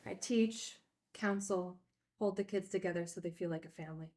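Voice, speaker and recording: Woman actor, candid recovering addict, wry, plainspoken film-drama delivery, medium pitch. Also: normal speed, a little mellow, close to an everyday voice. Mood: wry, guarded. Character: Frankie, candid woman, recovering addict and group-home teacher. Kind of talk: naturalistic screenplay table read.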